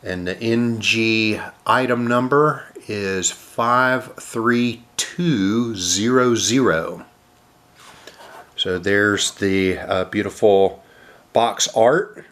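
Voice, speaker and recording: A man with a cold, sounding nasal, casually narrating an unboxing of model airplanes with many filler sounds and throat clearing. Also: fairly low pitch, extremely slow, fairly bright tone.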